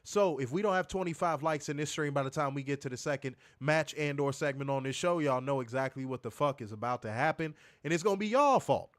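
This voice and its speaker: A man's voice: on the high side, quick pace, hardly any pauses. A man co-hosting a wrestling review show, ranting bluntly and profanely while stuffed up with a cold. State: congested, stuffed up. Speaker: podcast co-host.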